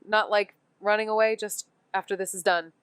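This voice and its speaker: A woman's voice: medium pitch, steady tone, normal speed, a bit bright, clear, resonant tone, dry recording, close to an everyday voice. A woman acting a wry, introspective, guarded amateur investigator in a naturalistic mystery audio drama. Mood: tentative, longing. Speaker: actor in a neo-noir mystery podcast.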